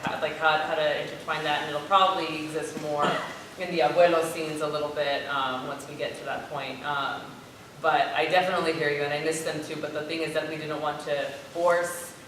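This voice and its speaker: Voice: medium pitch, level tone, fairly quick. An artist and co-writer explaining things conversationally in an informal post-show discussion. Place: large echoey indoor arts venue. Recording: very echoey hall, distant mic, noisy.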